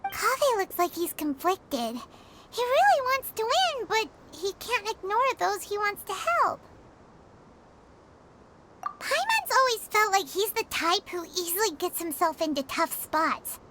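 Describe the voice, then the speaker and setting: A woman voicing a tiny, childlike fairy companion in a video game, squeaky and bubbly, curious and blunt. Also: sing-song, a little slow, sharp tone, a little hiss or hum, full character voice. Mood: curious, musing. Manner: bubbly, speaks of self in third person. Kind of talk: animated video game character acting.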